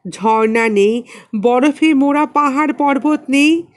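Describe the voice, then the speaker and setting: A woman narrating a literary story in an expressive, dramatic reading style. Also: high voice, slow. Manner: dramatic, acts out dialogue. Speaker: audiobook narrator.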